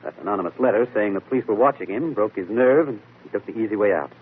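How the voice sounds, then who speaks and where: A man acting a keen, thoughtful amateur detective in a 1940s radio mystery, speaking in a measured, deliberate way. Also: fairly low pitch, slightly rough. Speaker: detective lead who also hosts the show. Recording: tinny, noisy old radio broadcast.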